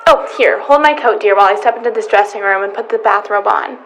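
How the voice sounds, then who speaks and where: A woman's voice: medium pitch, quick pace, fairly bright tone, slight background noise, lightly acted. A woman playing a genteel, fussy, motherly shopper in radio comedy style. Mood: chatty, pleasant. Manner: motherly, chatty. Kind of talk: old-time radio comedy acting.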